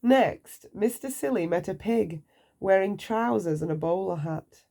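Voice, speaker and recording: A woman narrating a children's bedtime story aloud, with deliberate, expressive phrasing for young listeners. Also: medium pitch, gravelly.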